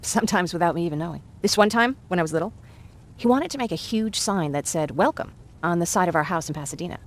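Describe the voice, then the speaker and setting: Young woman survivor, game drama: earnest, chatty, candid, lower-pitched voice, natural delivery. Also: lively intonation, fast talker. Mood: wistful, chatty. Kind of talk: naturalistic video game acting.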